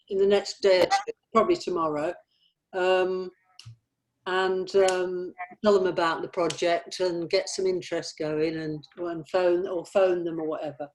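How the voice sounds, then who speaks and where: A woman's voice: on the low side, measured pace, poor audio. A woman in an informal production meeting, talking tentatively as she thinks through practical plans.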